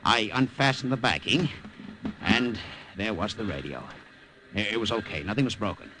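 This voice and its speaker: An actor playing a secret agent, narrating in the first person in a 1940s radio drama, wry and stammering when nervous. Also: male voice, lowish voice, expressive, moderate pace, natural voice, rough recording. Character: American secret agent posing as an Italian salesman.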